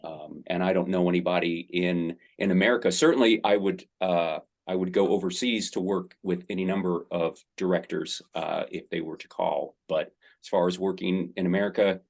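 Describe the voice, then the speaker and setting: A man's voice: sits low, fairly even intonation, moderate pace, gravelly. A middle-aged man, an actor, answering interview questions in a rambling, enthusiastic way with many fillers.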